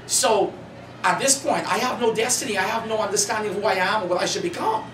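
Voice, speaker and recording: A man in his thirties from the Bahamas, an author and pastor telling his life story in earnest, building to animated emphasis at a cafe event. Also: high-pitched, quick pace. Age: 30s. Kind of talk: personal testimony at a bookstore event. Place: coffee cafe in a shopping mall. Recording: roomy, distant, noisy cafe recording.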